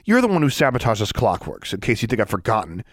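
A man's voice: lowish voice, huge pitch swings, fast, no room sound, clean studio sound, voice pushed up. A man voicing a resentful, sneering schoolboy in a storybook reading. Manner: sneering, hostile.

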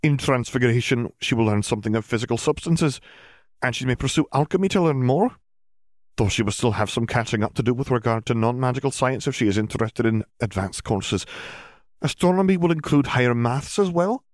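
A man's voice: medium pitch, lively intonation, brisk, no room sound, studio quality, voice pushed up, lightly acted. A man voicing a stern, formal older witch schoolteacher with precise diction in an audiobook story. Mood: measured, formal.

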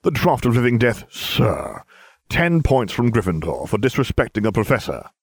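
A man's voice: medium pitch, big pitch swings, normal speed, dry recording, pitched above the performer's own voice. A man voicing a cold, sneering male potions professor who talks in clipped, disdainful lines.

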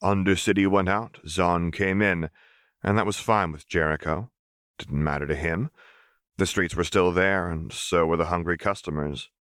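A man reading fan fiction aloud in steady, even narration. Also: deep voice, moderate pace, no room sound, studio quality. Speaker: audiobook reader.

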